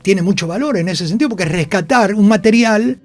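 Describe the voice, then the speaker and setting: A man's voice: high voice, fairly quick, faint music behind. An older man with an Argentine Rioplatense accent talks reflectively in a radio interview, often repeating words. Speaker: actor and writer, interview guest. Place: radio studio.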